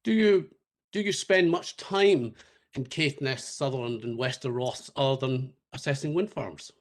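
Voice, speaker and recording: A man questioning a witness at a public inquiry in a polite, deliberate way. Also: mid-range voice. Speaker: advocate cross-examining. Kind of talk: cross-examination.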